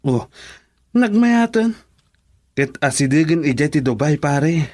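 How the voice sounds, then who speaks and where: A man's voice: a little high, sing-song, takes it slowly, a little mellow. A man playing a supportive male friend giving advice in a radio drama. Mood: reassuring.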